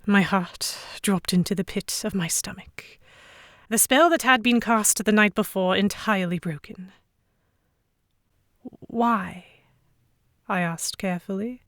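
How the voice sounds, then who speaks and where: A woman narrating a scripted first-person audio drama in an expressive, dramatic storytelling manner. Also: mid-range voice, a little slow, pauses often, no echo, clean studio sound.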